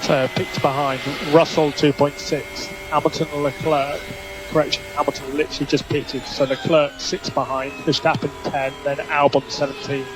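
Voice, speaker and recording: A man working as a race engineer, giving measured, clipped instructions over team radio and repeating key calls. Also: a little high, little pitch movement. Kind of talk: instructional radio messages to a racing driver. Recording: noisy motor racing team radio.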